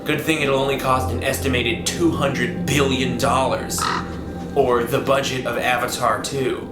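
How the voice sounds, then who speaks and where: A man's voice: medium pitch, little pitch movement, measured pace. A man presenting a comedic scripted explainer in a theatrical, sarcastic way with sudden exclamations. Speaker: comedic web video host. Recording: roomy sound under loud music. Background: loud music.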